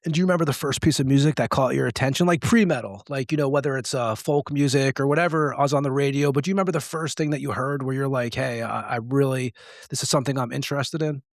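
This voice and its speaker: A man's voice: medium pitch, steady tone, quick pace, no room sound, clean studio sound. A man hosting a podcast, asking long, rambling questions full of fillers. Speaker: podcast host. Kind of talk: casual interview questions.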